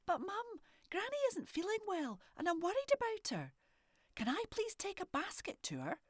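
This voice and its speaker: Scottish lady doing a wee girl, high and begging, voice jumps all over. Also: wildly swooping pitch, normal speed, no room sound, clean studio sound, full character voice. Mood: pleading. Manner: high, breathless pleading. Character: young Scottish girl, eager and pleading.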